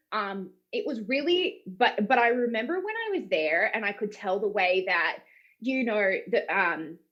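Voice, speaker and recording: A woman's voice: medium pitch, fairly bright tone. Dull-sounding recording of a chatty Australian woman. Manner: chatty, informal, rambling. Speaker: voice actor being interviewed. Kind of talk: casual chat.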